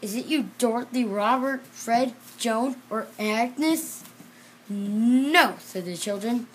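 A child reading a children's storybook aloud in a halting way, repeating and correcting words. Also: medium pitch, husky. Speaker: reader.

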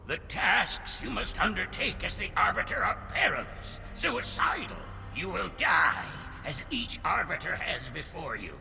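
A frail elderly alien prophet warns sternly in a high, thin and piercing voice. His words ring in a long reverberant hall. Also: sing-song, unhurried, creaky, few pauses, pushed and bright, soft background music, exaggerated voice. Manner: stern warning tone. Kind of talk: video game cutscene acting.

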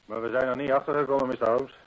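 An actor playing an earnest, discreet employee in a radio play, respectful and hesitant, repeating himself. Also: male voice, mid-range voice, steady tone, rapid-fire, dull audio, lightly acted. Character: deferential horse-stable employee, earnest, discreet witness. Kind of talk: Dutch radio play acting.

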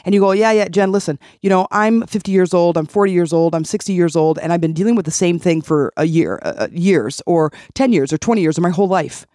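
A woman's voice: lowish voice, fast, few pauses, mellow, no echo, clean studio sound. A woman hosting a healing podcast, talking earnestly and encouragingly, often repeating phrases to press a point. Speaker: podcast host and life coach. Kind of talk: motivational solo podcast talk.

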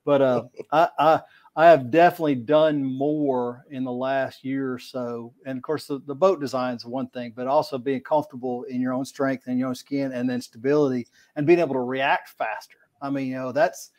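A man's voice: mid-range voice, steady tone, normal speed, a little mellow. A middle-aged man, talking in a rambling, folksy way as a guest on a video call, often repeating words. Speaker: podcast guest, kayak angler. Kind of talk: casual video-call interview. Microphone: video call webcam mic.

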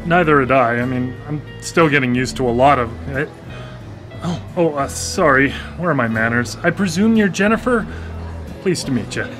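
A man's voice: medium pitch, expressive, moderate pace, over music, natural voice. A man playing a stammering newly blind man. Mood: awkward, wry. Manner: hesitant and stammering, wry humor. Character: newly blind man, awkward, self-deprecating. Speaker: lead character in a radio drama. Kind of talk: live radio drama acting. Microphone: studio microphone.

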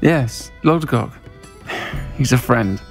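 A man's voice: mid-range voice, expressive, very slow, faint music behind. An actor voicing a friendly, middle-aged witch who keeps a tavern in a video game, speaking frankly and conversationally.

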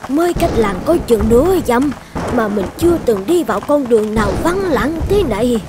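A woman voicing a kind, selfless elderly village midwife and grandmother in an animated folktale, speaking gently and earnestly. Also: a little high, moderate pace, close to an everyday voice. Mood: anxious, astonished.

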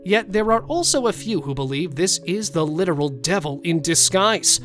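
Male narrator over soft music. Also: sits high, lively intonation, moderate pace. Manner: dramatic storytelling with rhetorical questions to the viewer. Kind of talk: scripted narration.

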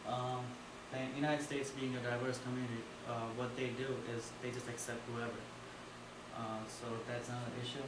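A man's voice: mid-range voice, flat delivery, normal speed. A young man from India giving a class presentation in a dim classroom, talking casually with many fillers, picked up by a far-off camera. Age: young adult. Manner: casual, filler-heavy, loose phrasing. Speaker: student presenter. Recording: echoey room from far off with noise.

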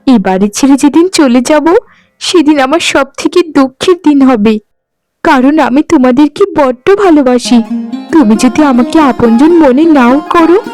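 A woman's voice: sits high, moderate pace, darkish tone, soft background music, close to an everyday voice, low-quality recording. A woman playing a sorrowful, unloved young orphan sister in a cartoon, speaking in a plaintive, earnest way. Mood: sad, loving. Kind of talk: Bengali cartoon voice acting.

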